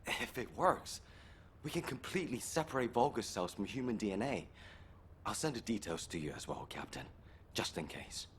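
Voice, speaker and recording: An actor playing a loyal, anxious young soldier in a video game, speaking with earnest emotion. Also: male voice, lowish voice, animated, moderate pace, fairly bright tone, a little hiss or hum. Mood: sorrowful resolve. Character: loyal, anxious young male soldier pleading with his captain.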